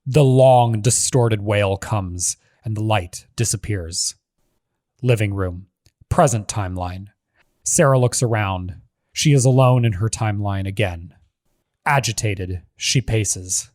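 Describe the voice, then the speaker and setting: A man's voice: on the low side, slow, a little mellow, no echo, studio quality. A man giving scripted narration, reading a screenplay's stage directions as the narrator of a table read.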